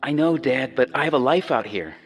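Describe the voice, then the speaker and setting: A man's voice: mid-range voice, animated, a little fast, husky, faint music behind. A man playing an easygoing, evasive young 1950s doctor and husband in a radio drama. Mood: reluctant.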